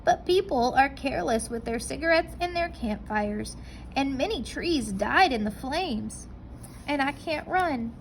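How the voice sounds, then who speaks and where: A woman voicing Woody, a soft-spoken talking tree. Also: high-pitched, lively intonation, a little slow, slight background noise, low-quality recording. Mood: gentle. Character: gentle, patient talking female tree.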